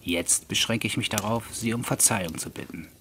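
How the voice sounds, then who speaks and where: A man voicing a grave, mysterious gentleman from the nineteenth century in an audiobook, speaking with formal, measured politeness. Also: fairly low pitch, noisy background, close to an everyday voice. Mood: grave, courteous. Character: courteous, grave, mysterious gentleman ghost in Victorian dress. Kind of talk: audiobook character dialogue.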